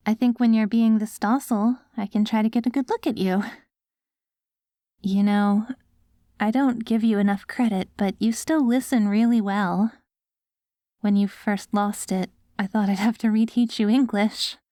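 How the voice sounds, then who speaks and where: A woman playing an affectionate post-apocalyptic wife in an ASMR audio roleplay, coaxing and gently praising her ghoul husband. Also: medium pitch, fairly even intonation, a little slow, no room sound, clean studio sound, natural voice. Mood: pleased, fond.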